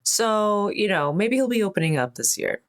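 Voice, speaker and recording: A woman's voice: mid-range voice, big pitch swings, moderate pace. A woman chatting casually as a player in a tabletop role-playing game, with a wry manner.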